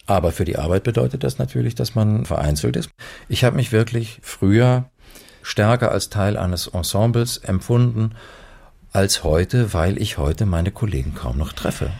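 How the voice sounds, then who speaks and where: A man talking casually and explaining things, with pauses as he searches for words. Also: on the low side, lively intonation, creaky, darkish tone. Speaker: radio drama actor. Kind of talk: casual conversation.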